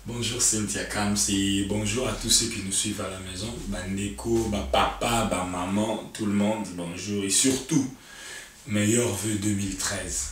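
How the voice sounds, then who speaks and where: A man's voice: lowish voice, steady tone, unhurried, slight background noise. A young man from Congo, a musician guest in a casual interview, talking animatedly with many hesitations, filmed on a sofa in a living-room set. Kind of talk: casual interview in French mixed with Lingala. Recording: echoey room, mic at a distance.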